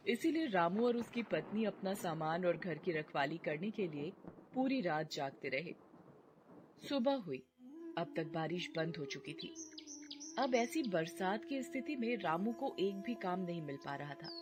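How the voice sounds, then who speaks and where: A woman narrating a cartoon story in a scripted storytelling manner. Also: fairly low pitch. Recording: noisy background.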